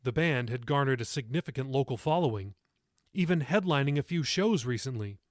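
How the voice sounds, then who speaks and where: A man's voice: medium pitch, expressive, fairly quick, no room sound, clean studio sound. A man reading his own novel as scripted audiobook narration.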